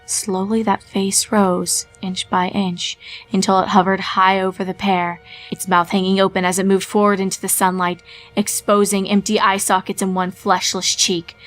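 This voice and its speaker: A woman narrating a horror fanfiction story in a measured storytelling manner. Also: mid-range voice, fairly bright tone, rough recording.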